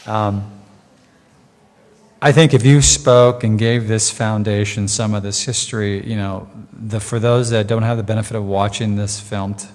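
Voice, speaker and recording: A man's voice: lowish voice, steady tone, unhurried, darkish tone. A man on a community board, speaking at length in an earnest, diplomatic way at a public meeting. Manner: earnest, long-winded, diplomatic. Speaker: community services district board member. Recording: reverberant meeting hall.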